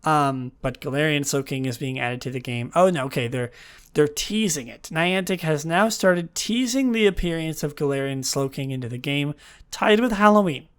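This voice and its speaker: A sick young man with a raspy, groggy voice chats through the news as host of his own podcast. He rambles and corrects himself often in a loose, casual way. His voice sits a little high for a man. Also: normal speed. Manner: rambling, chatty, self-correcting. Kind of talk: casual chat about game news.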